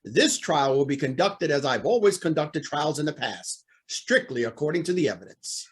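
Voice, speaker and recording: A man's voice: on the high side, lively intonation, moderate pace, slightly rough. A man playing a stern, pompous older judge in an old-time radio drama, speaking formally and guardedly. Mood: pompous, firm.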